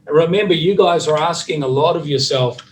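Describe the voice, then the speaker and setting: A man's voice: a little high, a little fast, distant mic. A man with a New Zealand accent, chatting casually on a video call as a recovery specialist, with lots of fillers and restarts.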